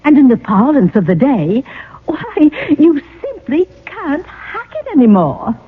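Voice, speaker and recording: A phone-like old radio recording holds a genteel wife of a ghost. Her high, swooping voice coaxes, flatters and gently needles in theatrical radio-play fashion. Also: measured pace. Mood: gently needling. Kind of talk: old-time radio acting.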